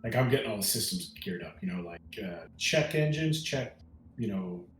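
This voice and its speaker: Male tabletop player, casual talk, slightly low voice, echoey room. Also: expressive, moderate pace, slight background noise. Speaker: player in a tabletop roleplaying game. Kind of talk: casual chat during a game. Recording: roomy echo, music underneath.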